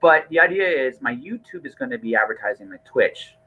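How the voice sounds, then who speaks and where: A man's voice: mid-range voice, lively intonation, fairly quick, sharp tone, highs cut off. A middle-aged man hosting a casual podcast conversation, earnest and rambling, often repeating his words. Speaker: podcast host.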